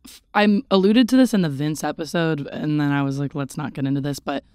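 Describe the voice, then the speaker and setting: A young woman with a naturally low, raspy voice trades jokes and stories as a podcast co-host. She chats in a bubbly, playful way. The sound is close and dry, with no room around it. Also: a little fast, a little mellow, no room sound. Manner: bubbly, jokey, laughs often. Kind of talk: casual chat.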